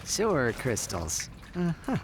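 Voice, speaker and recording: Casting: wry young game hero, boyish, casual, animated intonation, slight background noise. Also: male voice, medium pitch, takes it slowly. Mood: wry, uneasy. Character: young, plucky, wry male alien hero. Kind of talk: video game character acting.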